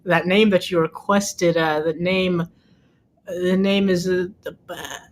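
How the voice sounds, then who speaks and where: A man's voice: high voice, fairly even intonation, measured pace. A man playing a nervous, stammering bluffer in a table read of a film script. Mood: nervous, stalling.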